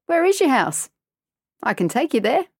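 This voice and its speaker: A woman's voice: high-pitched, big pitch swings, moderate pace, darkish tone, no room sound, clean studio sound, pitched above the performer's own voice, heavily acted. A woman voicing an older male stranger who sounds friendly but menacing, in a told story. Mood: falsely friendly. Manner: smooth, deceptively friendly.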